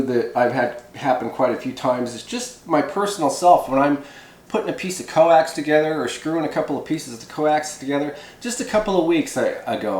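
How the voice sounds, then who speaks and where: Guy walking thru a hobby how-to, casual folksy rambly talk, mid pitch, roomy echo. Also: a little mellow. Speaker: host of a hobby how-to video. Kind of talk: casual hands-on tutorial talk. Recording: echoey room.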